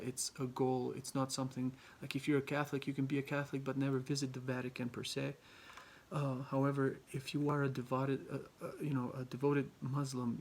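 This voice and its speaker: A young man from Albania giving a class presentation, halting often with ums and restarts. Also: medium pitch, darkish tone, a little hiss or hum. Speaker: student presenter.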